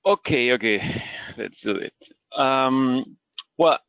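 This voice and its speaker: A man's voice: mid-range voice, slow, fairly bright tone, clean tone, no room sound, lo-fi sound. A man from Argentina with an Argentine accent, a native Spanish speaker, talking casually as an interview guest, hesitant, self-effacing and often searching for words. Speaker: interview guest, 3D character artist. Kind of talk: casual conversational storytelling.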